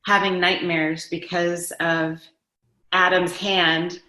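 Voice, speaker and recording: A woman's voice: lowish voice, little pitch movement, slow, pushed and bright. A middle-aged woman reminiscing casually as an interview guest, informal and rambling. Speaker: guest, daughter of an interviewed actor. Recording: roomy, echoey sound.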